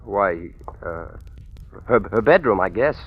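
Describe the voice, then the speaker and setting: An actor playing a nervous, cowed captive in a 1940s radio thriller, speaking hesitantly. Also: male voice, on the low side, expressive, husky, exaggerated voice. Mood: hesitant, fearful. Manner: hesitant, halting. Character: nervous, cowed male captive called Curly, also narrating grimly. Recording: muffled vintage radio recording with music.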